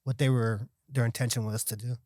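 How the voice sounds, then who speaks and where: A man's voice: mid-range voice, flat delivery, brisk, darkish tone, no echo, studio quality. A man in a casual podcast conversation, talking in a loose, slangy way. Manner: slangy, peppered with dude and bro. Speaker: podcast guest.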